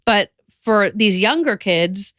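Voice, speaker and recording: A woman co-hosting a podcast, talking casually and candidly with a friend, quick to react and give her opinion. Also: mid-range voice, animated, fairly bright tone, dry recording, studio quality. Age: adult, parent. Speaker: podcast co-host.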